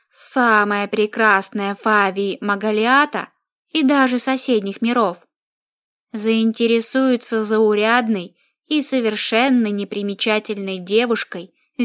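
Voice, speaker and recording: Woman reading as a detached powerful lord, audiobook, cool and unhurried, slow delivery. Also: medium pitch, a bit bright. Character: cold, aloof man, a powerful lord.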